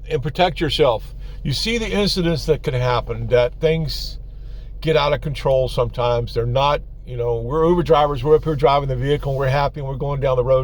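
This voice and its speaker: A man hosting his own channel, giving casual commentary with a loose, filler-heavy way of talking. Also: mid-range voice. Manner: conversational, loose, many fillers. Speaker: channel host, rideshare driver.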